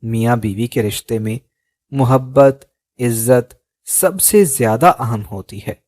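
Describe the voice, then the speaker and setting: A man reading the lines of a middle-aged aunt who gives earnest, calm advice in a slow, dry-sounding recording. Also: medium pitch, no room sound, close to an everyday voice. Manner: earnest, pleading, advising.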